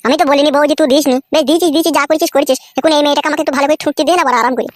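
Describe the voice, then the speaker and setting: A man playing an exasperated, crude village husband in a comic cartoon, bickering dismissively in a pitched-up voice. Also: fairly even intonation, rapid-fire, no echo. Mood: irritated. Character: exasperated, crude village husband caught between two wives.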